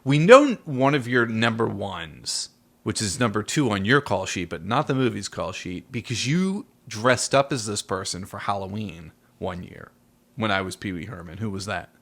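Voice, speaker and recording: A man's voice: lowish voice, expressive, normal speed, a bit bright. A man co-hosting a podcast in casual movie chat with a child, teasing and playful as he talks. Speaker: podcast host.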